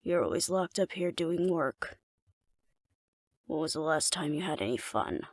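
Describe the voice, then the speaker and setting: Woman playing a flirty, gravelly alpha werewolf woman. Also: low voice, expressive, measured pace, no room sound, studio quality, heavily acted. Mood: concerned. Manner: teasing, coaxing, playfully domineering. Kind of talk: intimate scripted audio roleplay addressed to the listener.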